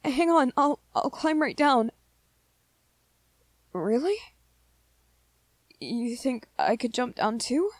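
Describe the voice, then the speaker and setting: A woman plays a gentle young male vampire in a romantic ASMR roleplay, pitched on the high side for the performer. He speaks slowly and hesitantly, tripping shyly over his words. The sound is dry and close, as if meant for one adored listener. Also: expressive, no room sound, heavily acted. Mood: excited. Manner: hesitant, soft-hearted, stammering with repeated words.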